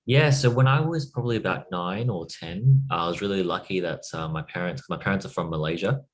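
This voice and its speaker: A man in his thirties from Australia, a business coach giving relaxed interview answers with lots of "like" and "you know". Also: low voice, brisk. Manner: relaxed, uses filler words.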